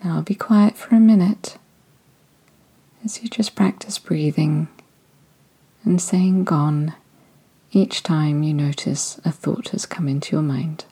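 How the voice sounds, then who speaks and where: Woman doing a slow guided meditation, low breathy voice, whispers a lot. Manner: soft, slow and soothing, often drifting into whispers. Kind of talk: guided body-scan meditation.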